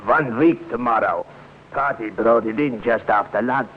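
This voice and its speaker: Male actor as a wary pawnshop keeper, colloquial, pondering, middle-pitched voice, old radio character part, phone-like vintage recording. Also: a little slow, lightly acted. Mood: hesitant, wary. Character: wary, plain-spoken male second-hand shop proprietor. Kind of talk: 1950s radio drama character acting.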